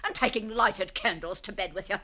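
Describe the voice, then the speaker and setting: A woman's voice: mid-range voice, brisk, bright, forceful tone. An actress playing a huffy, scolding British landlady in old-time radio comedy style, haughty and easily affronted. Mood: indignant, scolding. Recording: noisy vintage 1940s-50s radio recording.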